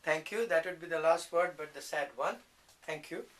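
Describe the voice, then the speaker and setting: A man's voice: a little high, normal speed, fairly bright tone. A man, asking questions informally as an interviewer.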